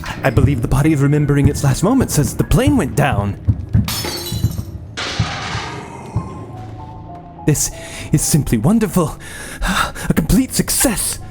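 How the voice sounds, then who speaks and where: A man's voice: fairly high pitch, sing-song, normal speed, hardly any pauses, music in the background. A man playing an obsessive mad scientist and army surgeon in a horror audio drama, clinical and commanding, with gleeful fascination. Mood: exultant.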